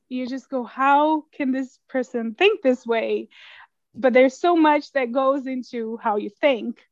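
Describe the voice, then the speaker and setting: A woman in middle age talks slowly and reflectively, often circling back over her words with little laughs. Her voice sits a bit high. The recording is dry and somewhat dull, close to the mic. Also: no echo. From Brazil. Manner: thoughtful, many restarts and soft laughs. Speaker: interviewed writer. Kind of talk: casual interview conversation.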